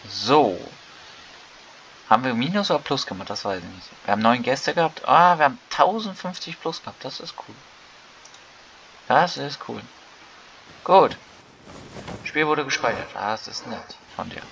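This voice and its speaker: A man's voice: medium pitch, very animated, normal speed, vocal fry, pauses often, a bit bright, dull audio, slight background noise. A man giving casual let's play commentary, thinking aloud in colloquial speech. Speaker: gaming video creator. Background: game music.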